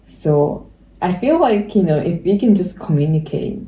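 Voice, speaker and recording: Low gravelly young japanese woman, warm dark tone, echoey room, chatty with lots of likes. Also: expressive. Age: 20s. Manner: fillers and quick bursts. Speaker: podcast co-host. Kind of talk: casual chat. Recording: echoey room, faint ambience.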